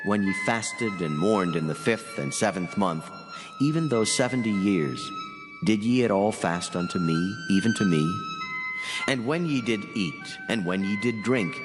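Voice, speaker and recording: A man playing a solemn angel of God in a dramatized scripture reading, speaking slowly and gravely in a declamatory way. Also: lowish voice, lively intonation. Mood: stern. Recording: long cavernous reverb over loud music.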